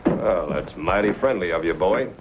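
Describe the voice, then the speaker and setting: An actor playing a smooth-talking, scheming gambler and saloon keeper, sly and mock-courteous, in an old-time western drama. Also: male voice, deep voice, animated, normal speed, phone-quality sound. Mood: falsely friendly. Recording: noisy old drama recording.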